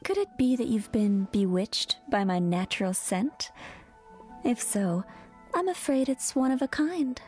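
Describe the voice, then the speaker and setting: Coy, high-voiced flirtatious perfume maker. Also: female voice, animated, measured pace, a little mellow, faint music behind. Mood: coy, teasing. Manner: coy, teasing, drawling. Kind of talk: video game character acting.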